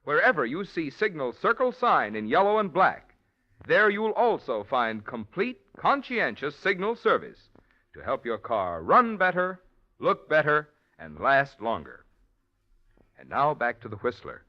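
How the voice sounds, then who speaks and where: A man's voice: fairly high pitch, huge pitch swings, unhurried. A man announcing in a polished, persuasive commercial style. His voice moves up and down a great deal. The sound is a muffled vintage broadcast. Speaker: radio announcer. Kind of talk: sponsor announcement. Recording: muffled old radio recording.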